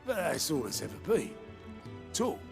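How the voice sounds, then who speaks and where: A man's voice: a little high, very animated, moderate pace, vocal fry, music in the background. A man playing a roguish, worldly rebel quartermaster in a video game, with a regional English accent and a wry, teasing, colloquial manner. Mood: wry, grumbling.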